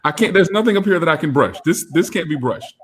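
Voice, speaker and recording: An animated man in his thirties banters on a casual panel show. His voice sits a little high and swings widely in pitch. He laughs often as he talks. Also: fast talker. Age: 30s. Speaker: co-host.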